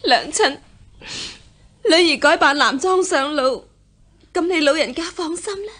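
An actress playing a resolute, devoted young gentlewoman in a historical radio drama. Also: high voice, expressive, measured pace. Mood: earnest, respectful. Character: resolute, devoted young daughter of a gentry household.

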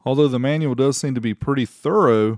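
A man's voice: mid-range voice, fairly quick, darkish tone, no room sound, studio quality. A middle-aged American man giving a casual product review voice-over, with playful, showy emphasis. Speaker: host of car audio amplifier review channel. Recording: music faintly behind the voice. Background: background music.

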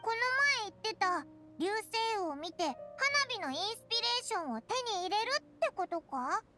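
A woman voicing a tiny, childlike, brash fairy companion in a video game, with a squeaky voice and blunt, boyish wording. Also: lively intonation, moderate pace, loud music behind the voice. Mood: confident, eager. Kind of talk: cartoonish video game voice acting.

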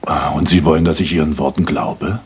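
An actor playing a coarse, pushy rich suitor in a radio play, insistent and leering, with a rough husky voice. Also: male voice, a bass voice, steady tone, brisk, a little hiss or hum. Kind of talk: dramatic German radio play acting.

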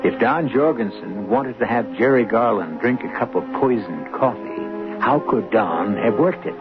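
A man playing an urbane mystery host, narrating a scripted radio drama in a wry, measured, conversational way. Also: mid-range voice, expressive, lightly acted. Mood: wry, intriguing. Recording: muffled phone-like old radio recording. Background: music.

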